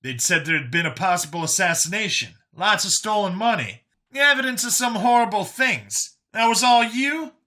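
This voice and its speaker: Man acting out high-pitched, excitable folksy survivor. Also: normal speed, heavily acted. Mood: wary, matter-of-fact. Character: older man in youthful body, eager scrappy fugitive survivor.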